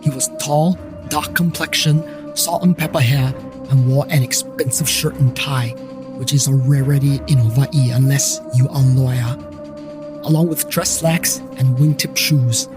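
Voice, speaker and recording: A man's voice: mid-range voice, steady tone, measured pace, over music. A man narrating a scripted first-person story as the narrator of a fiction podcast.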